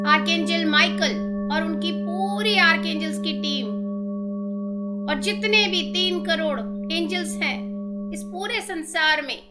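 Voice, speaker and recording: An Indian woman leading a guided meditation in a measured, devotional manner with long pauses. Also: very high voice. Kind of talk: guided meditation, slow instructions and invocations. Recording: meditation music with singing bowls behind. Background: soft music and singing bowl tones.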